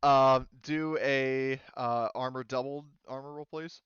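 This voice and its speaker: A very smooth, clean-voiced man acts as game master for a tabletop game. He speaks casually and haltingly, working through rules out loud. The sound is dry and slightly dull. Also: medium pitch, fairly even intonation, dry recording. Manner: hesitant, thinking aloud while checking rules.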